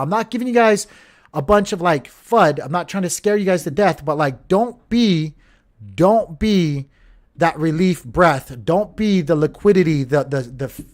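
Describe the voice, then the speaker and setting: A man from Hawaii talks through crypto and stock charts in loose, rambling commentary, often calling his viewers "guys." His voice sits a little high for a man. He restarts his sentences often and fills the pauses with "uh" and "like.". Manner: rambling, chatty, lots of fillers and restarts. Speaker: crypto channel host. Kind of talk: casual market commentary.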